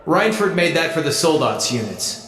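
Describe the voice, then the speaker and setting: Cocky rebel pilot, echoing voice. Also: male voice, fairly high pitch, sing-song, normal speed, a bit bright, soft background music. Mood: wry, menacing. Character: cocky young male rebel mech pilot, wry, self-assured. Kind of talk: English dub video game cutscene acting. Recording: roomy echo, faint background noise.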